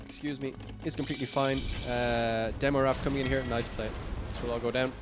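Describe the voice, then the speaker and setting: Croaky-voiced male game caster. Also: medium pitch, little pitch movement, brisk, over music. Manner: rambling and upbeat, frequent "uh" fillers, self-corrections. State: croaky, tired voice late in a long stream. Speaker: esports caster. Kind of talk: live play-by-play game commentary.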